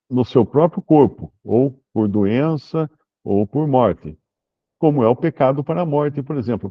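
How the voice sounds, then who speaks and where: A man explains scripture in a relaxed, unhurried way and slows to read verses aloud. His voice sits at a middle pitch. The audio is dull and lo-fi, like an online call. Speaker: Bible teacher answering questions. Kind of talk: informal Bible study Q&A.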